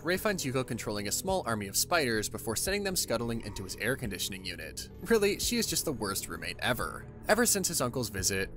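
Animated male recap narrator over music. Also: medium pitch. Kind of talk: scripted story recap narration.

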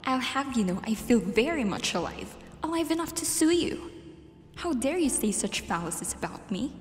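A woman's voice: a little high, sing-song, normal speed. A woman playing a haughty, demanding rich young woman on trial in an amateur radio drama. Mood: indignant. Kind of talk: student radio drama acting. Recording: echoey hall, faint noise.

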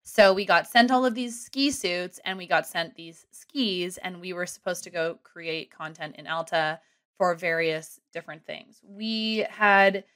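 A woman in her thirties telling a long story casually on a podcast from a small home room, animated and rambling. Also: lowish voice, a little slow. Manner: animated, rambling, self-deprecating. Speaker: guest comedian and ski content creator. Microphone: studio mic close up with headphones.

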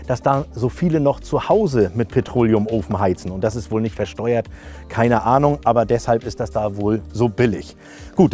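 Mellow middle-aged man. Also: medium pitch. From northern Germany. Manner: informal, jokey, thinking aloud. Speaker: camping video host. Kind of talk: casual hands-on product test chat. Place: camping awning, outdoors and inside the tent.

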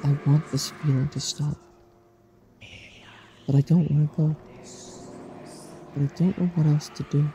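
A woman's voice: sits low, fairly even intonation, measured pace, long pauses, mellow, slight background noise. A woman playing a tormented, vulnerable person in an audio drama, pleading and emotional. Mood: broken, subdued.

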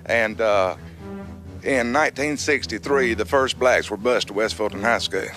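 Halting man from Atlanta, bright-toned. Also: fairly low pitch, soft background music. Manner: halting, hesitant. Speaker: talk show audience member. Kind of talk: archival talk show exchange. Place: TV studio audience. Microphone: handheld mic.

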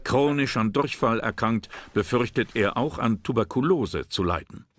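A man's voice: medium pitch, animated, moderate pace. A man reading scripted narration for a history documentary. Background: background music.

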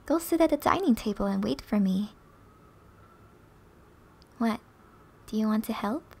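Slow, high-voiced flirty wife played by a woman. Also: vocal fry, darkish tone, exaggerated voice. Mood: teasing. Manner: slow, playful, intimate delivery. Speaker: ASMR roleplay performer. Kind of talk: ASMR roleplay.

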